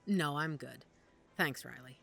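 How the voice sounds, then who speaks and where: A woman playing a composed, guarded werewolf pack matriarch in an audio drama, measured and wry. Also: deep voice, vocal fry, over music. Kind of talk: naturalistic audio drama dialogue.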